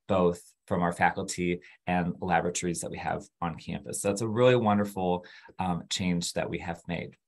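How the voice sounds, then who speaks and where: A man hosting a university webinar over a video call, upbeat with frequent fillers. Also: low voice. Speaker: webinar host, admissions. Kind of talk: webinar presentation.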